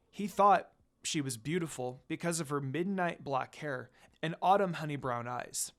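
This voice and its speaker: A man reading a story aloud as scripted narration. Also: on the high side. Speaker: fan fiction narrator.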